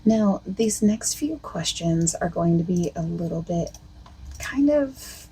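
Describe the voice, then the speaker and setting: A woman speaking softly and partly in whispers as a costume consultant in an ASMR roleplay, with a hesitant, filler-heavy way of talking. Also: lively intonation, slow, husky, low-quality recording. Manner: soft-spoken, drifting between whisper and low voice. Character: female costume consultant asking the listener questions.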